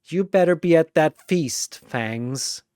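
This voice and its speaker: A man's voice: on the high side, expressive, slow pace, a little mellow, clear, resonant tone, no echo, clean studio sound, pitched above the performer's own voice. A man voicing a tough, guarded female fighter who speaks tersely and bluntly in a fantasy battle story. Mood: quietly affectionate. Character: tough, guarded young woman brawler, gruffly affectionate.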